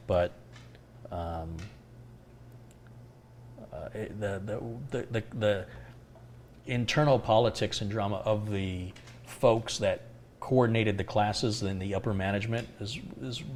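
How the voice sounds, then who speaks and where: A middle-aged man hosting a live tech stream, answering viewer questions in a calm, unhurried, reflective way. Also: fairly low pitch, slight background noise. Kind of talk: casual live Q&A chat.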